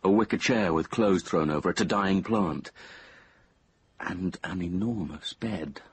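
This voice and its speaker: A man's voice: sits low, unhurried, slight background noise. An actor playing an infatuated, uneasy young British man in a radio drama, speaking hesitantly and introspectively. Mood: brooding, reflective. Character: earnest, infatuated, uneasy young man telling his story. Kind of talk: radio drama acting with confessional first-person narration.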